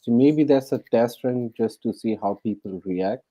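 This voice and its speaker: A man who lives in Canada and speaks English with a non-native accent, chatting in a casual discussion, animated and questioning, with many fillers. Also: mid-range voice, normal speed, slight background noise, poor audio. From abroad, lives in Canada.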